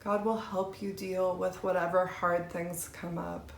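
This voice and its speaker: A woman's voice: fairly low pitch, little pitch movement, a little slow, slight background noise. Woman reading scripture in a roomy echo. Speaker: scripture reader. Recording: roomy, echoing space.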